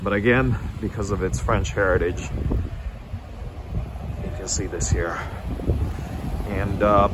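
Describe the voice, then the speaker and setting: A man casually narrating a walking tour of a small town, unhurried and full of filler pauses, recorded outdoors on a street. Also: low voice, noisy background. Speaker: travel vlogger.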